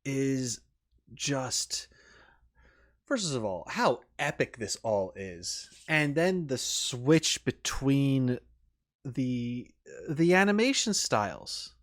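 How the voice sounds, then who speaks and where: A man's voice: medium pitch, big pitch swings, drawn-out words. A man giving casual, unscripted reaction commentary as a video host, rambling informally with emphatic enthusiasm.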